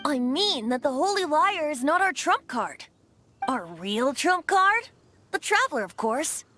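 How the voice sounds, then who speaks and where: A woman's voice: high-pitched, sing-song, unhurried, bright, forceful tone, slight background noise, heavily acted. A woman voicing a playful, breezy young male bard god in a video game, in a coy, theatrical manner. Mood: confident, playful. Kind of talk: scripted video game voice acting.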